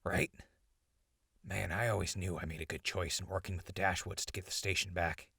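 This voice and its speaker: Casting: male actor as sardonic ghoul survivor, low gravel voice with a hard bright edge, teasing and casual, post-apocalyptic radio drama. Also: fairly even intonation, a little fast, dry recording, clean studio sound, exaggerated voice. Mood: wry nostalgia. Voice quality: raspy, gravelly. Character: sardonic, laid-back male ghoul survivor, wisecracking captive.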